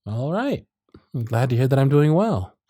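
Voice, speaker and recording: Man playing a smitten, soft-spoken circus magician, husky voice. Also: medium pitch, normal speed, no room sound, studio quality. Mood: warm, charming. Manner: soft-spoken, flattering, tender, with hushed asides. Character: smitten male circus magician, charming, gentle, privately anxious. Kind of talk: ASMR romance roleplay with intimate inner monologue.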